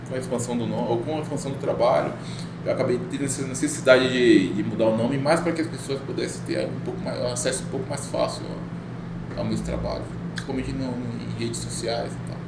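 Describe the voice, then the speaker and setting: Man, middle-aged, casual storyteller, mid pitch, roomy echo. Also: a little fast. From Bahia, Brazil. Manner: relaxed storytelling, laughs often. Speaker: guest, tattoo artist. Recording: echoey room with noise.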